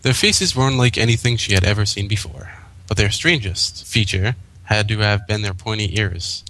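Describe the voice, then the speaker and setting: Lowish-voiced man narrating a story. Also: moderate pace, bright, forceful tone, poor audio. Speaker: fan fiction reader.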